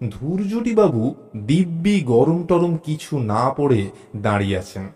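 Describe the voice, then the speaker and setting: A man narrating a suspense story in the first person, in a measured, theatrical way. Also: medium pitch, a little mellow. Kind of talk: dramatised suspense story narration, acting out dialogue.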